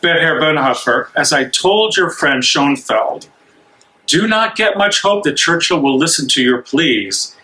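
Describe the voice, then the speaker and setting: An actor playing a cautious English bishop in a stage reading, formal and admonishing in manner. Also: male voice, fairly high pitch, animated, a little slow, pushed and bright, low-quality recording. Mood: firm.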